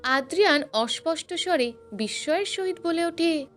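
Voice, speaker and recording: A woman's voice: extremely high pitch, animated, normal speed. A woman reading a scripted story as a narrator, with dramatic, emotive delivery. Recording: music bed under the narration. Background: background music.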